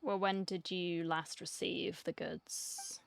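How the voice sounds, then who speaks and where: A woman's voice: on the low side, flat delivery, slow, creaky, dry recording. A woman giving casual, musing reaction commentary as she plays a video game into a close microphone. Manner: musing, chatty. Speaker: gaming streamer.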